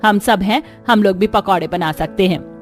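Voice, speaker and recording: A woman's voice: mid-range voice, moderate pace, faint music behind, close to an everyday voice. A woman voicing a commanding, demanding middle-aged mother-in-law in a cartoon story. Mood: firm, concerned.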